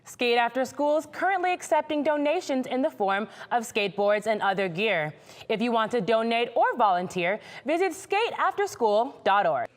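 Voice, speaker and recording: A woman's voice: fairly high pitch, lively intonation, moderate pace. A woman with an American accent presenting scripted news as an anchor.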